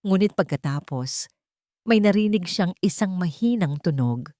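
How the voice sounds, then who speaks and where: A woman's voice: on the low side, expressive, measured pace, dark tone, dry recording, studio quality. A woman reading a scripted, dramatic audio story as a storyteller.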